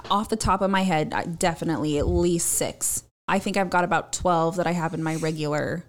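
A woman's voice: on the low side, fairly quick. A woman guesting on a podcast at home, chatting casually in a dry, matter-of-fact way and laughing often. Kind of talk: casual chat.

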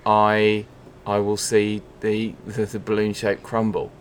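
A man's voice: on the low side, completely flat delivery, slow, a little hiss or hum. A man chatting casually and comically on a podcast, wry and wordy in a mock-grandiose way. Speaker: podcast contributor. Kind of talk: casual comedic chat.

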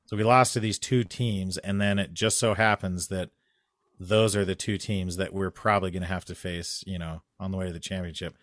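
Excitable man telling a story. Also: low-pitched, a little fast, no echo. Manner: animated storytelling, rising loud when excited. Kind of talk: casual chat.

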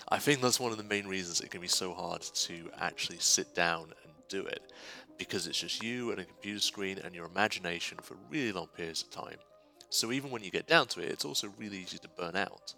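A man with a low, gravelly yet bright voice gives an enthusiastic, informal testimonial as a writer. Light music runs behind him. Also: a little fast. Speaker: writer, guest contributor.

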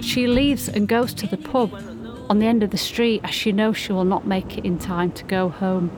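A woman's voice: medium pitch, fairly even intonation, normal speed. A woman reading scripted storybook-style narration as the narrator of a community radio play. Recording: music playing behind the voice. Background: music.

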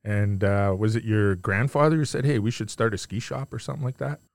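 A man's voice: on the low side, fairly even intonation, brisk, gravelly, dry recording, studio quality. A man hosting a casual podcast, a friendly and easygoing interviewer who leans on fillers. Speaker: podcast host.

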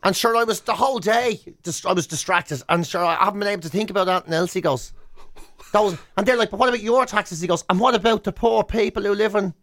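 A man's voice: high voice, fast talker. A man with an Irish accent chatting casually as a radio host, telling comic anecdotes and acting out both sides of the dialogue. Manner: animated storyteller, acting out dialogue. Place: radio studio.

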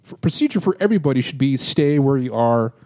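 A man's voice: mid-range voice, lively intonation, fast, a little mellow, no echo, studio quality. A man hosting a casual podcast, telling a story in a rambling way with many fillers and restarts. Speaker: podcast host.

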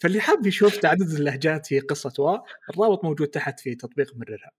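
A man's voice: fairly high pitch, animated, slightly rough. A young man co-hosting a podcast, joking and teasing in casual chat. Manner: joking, fast-talking, teasing. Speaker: podcast co-host.

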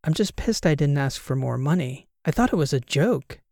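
A man voicing a sharp-tongued female podcast co-host who talks with dry sarcasm. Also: on the high side, lively intonation, moderate pace, gravelly, dry recording. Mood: sardonic.

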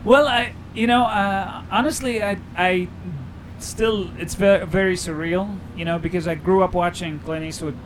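A man's voice: high voice, fairly even intonation, normal speed, slight background noise, poor audio. A man from Egypt, a guest actor speaking hesitantly in casual interview chat, with many ums and restarts. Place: outdoors on a busy street.

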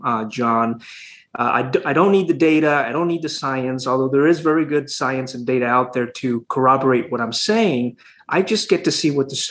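A man from Honduras, an educator speaking as an interview guest in a thoughtful, measured way with frequent hesitations. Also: mid-range voice, a bit bright. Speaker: classical school educator and guest. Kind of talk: conversational interview answers.

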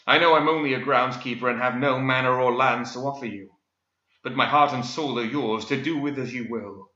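A lovestruck, dashing hero of humble station, acted by a male narrator, pleading in florid, overwrought phrases. His bright, forceful storybook delivery rings in a roomy recording. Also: medium pitch, moderate pace, close to an everyday voice. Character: earnest, dashing, lovestruck humble groundskeeper, melodramatic man. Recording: roomy, slightly dull recording.

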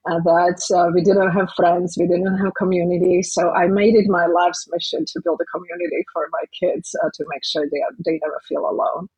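A woman's voice: lowish voice, steady tone, brisk, few pauses, a little mellow, slightly rough, no room sound, low-quality recording. A woman in her forties from Slovakia with a Slovak accent, speaking earnestly as an interview guest with many hesitations. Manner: earnest, heartfelt, with frequent hesitations. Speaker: guest, organization president. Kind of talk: podcast interview.

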